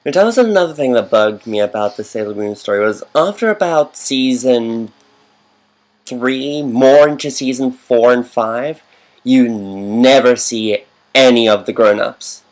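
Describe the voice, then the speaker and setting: A man's voice: mid-range voice, unhurried. A man giving casual, rambling commentary over a game, wandering into fannish asides.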